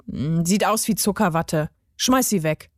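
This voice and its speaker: A woman's voice: fairly low pitch, slow, no echo, clean studio sound. A woman voicing a harsh, impatient storybook mother who speaks in a curt, commanding way.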